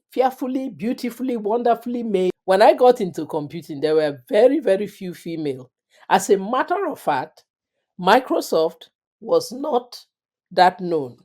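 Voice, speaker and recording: Earnest middle-aged African woman, mellow voice. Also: medium pitch. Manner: earnest, emphatic, repeats phrases for stress. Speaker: interview guest. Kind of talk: reflective personal storytelling.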